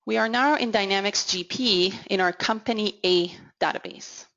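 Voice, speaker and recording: A woman giving an instructional software walkthrough as a consultant, in a measured, explanatory way. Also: medium pitch. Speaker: technical consultant presenting a software demo. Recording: dull, lo-fi audio. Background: voice-over for a screen recording.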